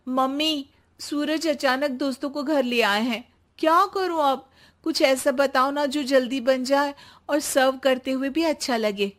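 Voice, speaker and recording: A woman voicing a young daughter-in-law in a cartoon family story, pleading and quick to protest. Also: high-pitched, pitched above the performer's own voice. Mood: anxious.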